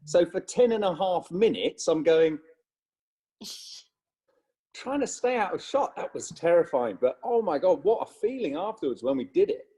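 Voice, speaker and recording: A man telling a casual anecdote on a video call with animated emphasis. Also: high voice, moderate pace. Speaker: cast member on a reunion panel.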